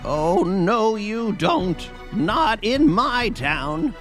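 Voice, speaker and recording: Gleeful, slow-drawling wizard. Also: medium pitch, lively intonation. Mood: smug. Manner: drawn-out, playful, unapologetic. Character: gleefully destructive, smug town wizard. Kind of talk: audio drama acting. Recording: faint music under the voice.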